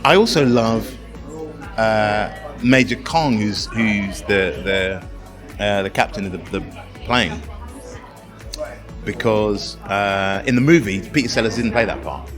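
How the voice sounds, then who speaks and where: An enthusiastic man with a lowish voice chats casually to an interviewer, searching for words as he goes. Music plays clearly behind him, as at a party. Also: slow. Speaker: interviewee from the production.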